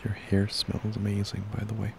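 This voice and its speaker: A man doing an intimate boyfriend ASMR roleplay close to the mic, murmuring softly, drowsily and affectionately. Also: completely flat delivery, moderate pace, background noise. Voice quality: low, gravelly, creaky. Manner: soft, drowsy, affectionate murmuring, often breathy half-whisper. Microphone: close ASMR microphone.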